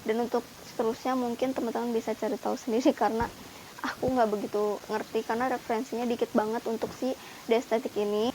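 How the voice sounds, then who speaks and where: A fairly high-pitched woman talks through a skincare review in a loose, chatty way. She keeps turning to her viewers and filling gaps with little hesitations. Her voice is growing hoarse, and a faint hiss of noise sits under it. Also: little pitch movement, quick pace, dark tone, rough recording. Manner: chatty, addresses viewers as guys, many fillers. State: voice wearing out, getting hoarse. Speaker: beauty vlogger reviewing skincare. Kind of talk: casual product review to camera.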